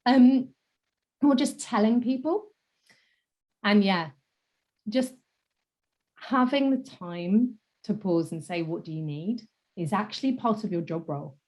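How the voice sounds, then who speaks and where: A woman guest with a croaky voice, a communication coach in a conversational interview, thoughtful, using fillers, her sentence endings trailing off softly. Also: mid-range voice, expressive, unhurried, long pauses.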